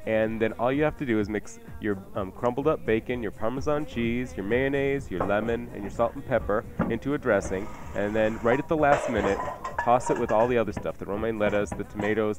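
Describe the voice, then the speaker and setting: A man's voice: fairly low pitch, fast. A man hosting a radio cooking show, chatting informally as he walks through recipes. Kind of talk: conversational recipe presentation. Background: music.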